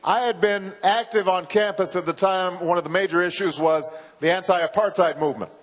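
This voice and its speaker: A man in his forties giving a campaign speech, slow and halting with frequent pauses and repeated words. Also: sits high, steady tone. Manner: halting, measured, with many uhs and repeated words. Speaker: political candidate. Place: large university hall. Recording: reverberant hall from a PA.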